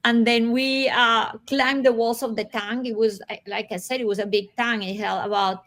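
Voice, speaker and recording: A woman from Colombia, slowly and reflectively telling her own story as an interview guest. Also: on the high side, fairly even intonation. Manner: slow, reflective, with soft laughs and pauses.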